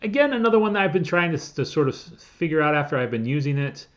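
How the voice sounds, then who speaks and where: A man reviewing software in a casual, conversational and informal way, talking as if straight to his viewers. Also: fairly high pitch, lively intonation, quick pace, muffled recording. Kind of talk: casual scripted-outline product review.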